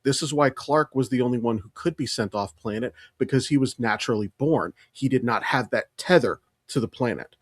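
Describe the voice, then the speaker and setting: A man's voice: mid-range voice, brisk, few pauses, dry recording, studio quality. A man co-hosting a podcast, chatting casually and emphatically with opinions and plenty of swearing. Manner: animated, emphatic, freely profane. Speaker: podcast co-host. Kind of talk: casual opinionated pop-culture chat.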